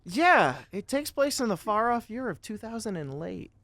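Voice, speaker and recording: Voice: mid-range voice, big pitch swings, moderate pace, very noisy. A podcast host chatting casually in a playful, joking manner. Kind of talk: casual chat.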